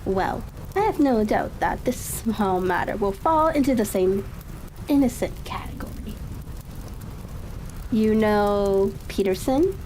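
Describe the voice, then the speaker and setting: A woman giving a calm ASMR reading of a classic story, with halting, unhurried phrasing and a soft crackling ambience behind her. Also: medium pitch. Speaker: audiobook reader. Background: fireplace crackle and ambient noise.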